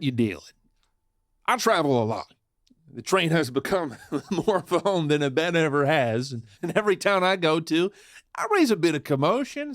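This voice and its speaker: A man's voice: a little high, no echo, studio quality. An actor playing a cocky, fun-loving male outlaw in a western audio drama, showboating and theatrical. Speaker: outlaw narrator and lead character.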